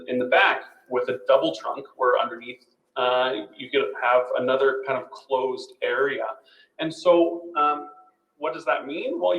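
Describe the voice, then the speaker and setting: A man's voice: mid-range voice, fairly bright tone. A man speaking as a panelist, explaining and thinking aloud in an unscripted discussion. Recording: roomy, echoing sound.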